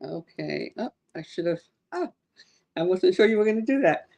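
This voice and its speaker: A woman's voice: lowish voice, expressive, moderate pace, gravelly, darkish tone. A woman author from Cuba answering interview questions, hesitant with many ums and restarts. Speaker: author guest.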